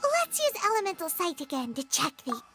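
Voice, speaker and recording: A woman voicing a tiny, excitable, bossy childlike fairy companion in a video game, with a squeaky voice and exclamatory manner. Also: fairly quick, full character voice. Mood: wary, chatty. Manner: refers to self in third person, exclamatory.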